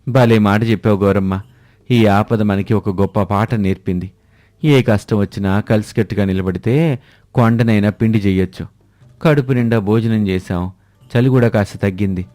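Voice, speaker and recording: A proud, supportive village man acted by a man in an animated moral tale. His voice is low, dark and mellow, earnest and emphatic, recorded dry. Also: moderate pace, no echo, close to an everyday voice. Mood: hopeful. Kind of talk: animated moral story dialogue.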